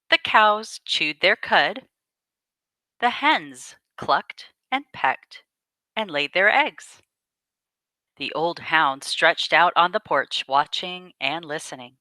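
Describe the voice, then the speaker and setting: A woman reads a picture book aloud for children. Her voice is slightly low but sweeps expressively, with an unhurried, dramatic delivery. The recording is dry. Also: bright, forceful tone, dry recording. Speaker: storyteller reading to children.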